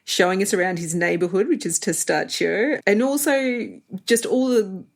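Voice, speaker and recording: A woman's voice: mid-range voice, normal speed, vocal fry. A woman hosting a travel podcast, interviewing a guest in a friendly way and laughing easily. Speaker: podcast host.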